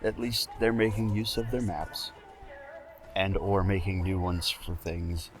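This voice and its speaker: A man's voice: low-pitched, steady tone, unhurried, music in the background. A man co-commentating casually on a video game in a dry, wry way. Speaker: guest co-commentator.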